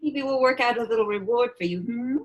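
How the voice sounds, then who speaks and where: A woman playing a menacing, imperious witch villain in a script read, taunting with mock-sweet endearments and drawn-out words. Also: on the high side, natural voice. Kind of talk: table read of a film script.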